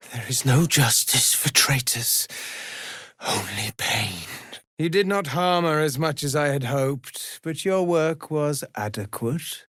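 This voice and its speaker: A man's voice: medium pitch, a bit bright, dry recording, studio quality, heavily acted. A man playing a solemn, menacing necromancer judge hungry for vengeance in a fantasy video game, speaking formally and theatrically in archaic phrases. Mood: calm, formal.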